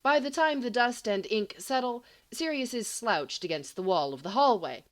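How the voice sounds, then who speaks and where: A woman reading scripted fiction aloud as an expressive, dramatic storyteller. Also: mid-range voice. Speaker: audiobook reader.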